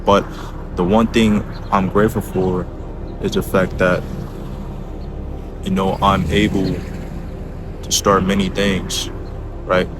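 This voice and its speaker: A man's voice: low voice, flat delivery, slow, pauses often, background noise. A twenty-year-old man giving casual, earnest motivational advice as a vlogger, urging the listener on and often checking in with short tag questions. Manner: earnest, exhorting, repeats tag questions like a coach.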